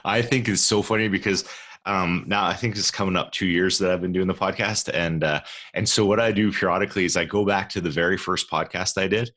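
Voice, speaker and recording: A man in his forties, a guest on a podcast, chatting in an animated way and repeating words as he goes. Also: low voice, a little fast, no room sound. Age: 40s. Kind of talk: casual interview chat.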